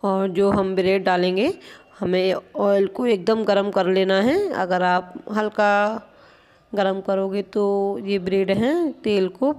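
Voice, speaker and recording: A woman's voice: medium pitch, steady tone, slow pace, darkish tone, smooth. Woman patiently explaining a home recipe. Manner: patient, explanatory, keeps pointing things out. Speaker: home cooking channel host. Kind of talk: step-by-step cooking instruction. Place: home kitchen at a gas stove.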